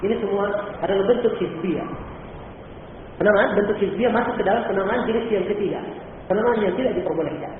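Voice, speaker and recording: A man's voice: high-pitched, fast talker, long pauses. A male Islamic teacher from Indonesia giving a religious lecture, explaining patiently, repeating key points and asking rhetorical questions. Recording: old echoey hall recording from far off, phone-like and faintly noisy.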